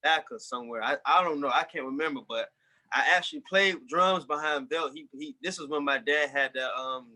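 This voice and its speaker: A man's voice: fairly high pitch, fairly quick, bright, forceful tone. A man of about twenty, a guest musician, recalling a memory hesitantly in a casual interview. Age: 20s.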